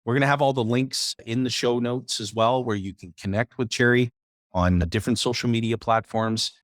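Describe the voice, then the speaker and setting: A man's voice: medium pitch, steady tone, brisk, no echo, studio quality. A man co-hosting a podcast, upbeat and encouraging as he plugs the guest's site. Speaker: podcast co-host. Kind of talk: casual chat and show promotion.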